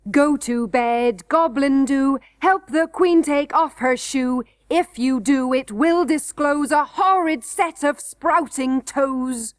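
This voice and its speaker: A woman voicing a bold young male miner in a storybook reading, chanting a loud, taunting singsong. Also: high-pitched, slow, hardly any pauses, no echo, studio quality, voice pushed up. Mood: mocking chant.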